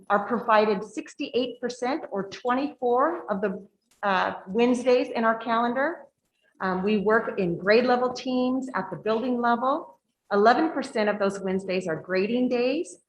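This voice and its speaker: A woman's voice: fairly high pitch, slight background noise. A woman, a school district director, presenting to a board meeting, reading her slides aloud in a big voice with frequent fillers. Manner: says she has a big voice, reads slides aloud with fillers. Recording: echoey meeting room.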